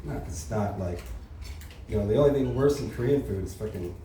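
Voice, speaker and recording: A man's voice: lowish voice, lively intonation, a little fast, warm tone. A young man doing open mic stand-up, crude and casual, tagging lines with "you know" and "right". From Los Angeles area. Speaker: stand-up comedian. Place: comedy club stage, heard from far off. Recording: echoey room, distant mic, audible noise.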